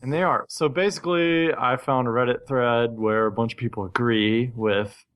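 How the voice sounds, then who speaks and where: Guy cohosting a gaming podcast, middle pitch voice, opinionated and kinda ranty, casual chat. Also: steady tone, moderate pace, fairly bright tone, clean tone. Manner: opinionated, ranting. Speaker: podcast co-host.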